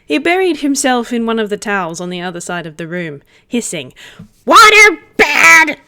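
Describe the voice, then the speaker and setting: A woman's voice: mid-range voice, big pitch swings, fairly quick, clean tone, dry recording, studio quality. A woman narrating a roleplay story read aloud in the third person. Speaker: roleplay reader.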